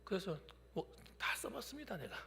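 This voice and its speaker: A male pastor in his fifties preaching a midweek sermon, shifting between loud declarations and hushed whispers. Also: high-pitched, sing-song, moderate pace, vocal fry, slight background noise. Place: church sanctuary during a midweek service. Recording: long hall reverb from a church.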